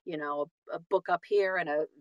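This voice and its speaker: A woman chatting casually about her trade in an emphatic, animated way, often doubling back and repeating words. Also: fairly low pitch, brisk, no room sound, lo-fi sound. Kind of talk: casual conversation about the audiobook business.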